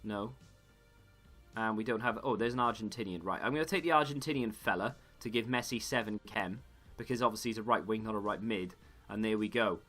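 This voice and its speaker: Bright-voiced gaming YouTuber. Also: male voice, fairly low pitch, brisk, faint music behind. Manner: rambling, self-correcting chatter. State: slightly hoarse. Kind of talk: casual gaming commentary.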